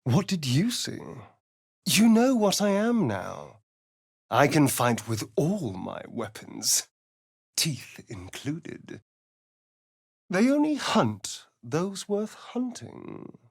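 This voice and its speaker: A man's voice: on the high side, wildly swooping pitch, slow, husky, no room sound, studio quality, full character voice. An actor playing a suave, sardonic male vampire spawn in a video game, with an arch, drawling, theatrical manner. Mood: wry, dramatic. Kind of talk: video game character voice lines.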